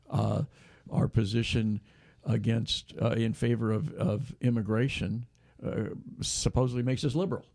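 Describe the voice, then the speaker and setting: A man's voice: fairly low pitch, vocal fry, mellow, no room sound. An older man hosting a casual talk show, folksy and rambling with many restarts. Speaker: host. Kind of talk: casual interview chat.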